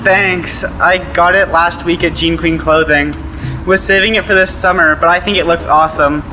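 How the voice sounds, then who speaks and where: A woman's voice: on the low side, fairly even intonation, normal speed, natural voice, low-quality recording. A young female performer playing a bold, matter-of-fact teenage girl in an audio play, with a dry manner. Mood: pleased. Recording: music behind.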